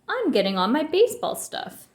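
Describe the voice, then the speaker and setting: Woman as an excitable boy bear cub, high shift. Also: moderate pace, reverberant, heavily acted. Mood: insistent. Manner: yelling, insistent.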